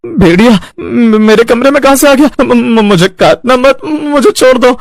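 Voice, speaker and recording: A man playing an excitable, easily frightened and bossy village husband in a story, in an animated manner. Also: extremely high pitch, moderate pace, gravelly, no room sound, clean studio sound, heavily acted. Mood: terrified.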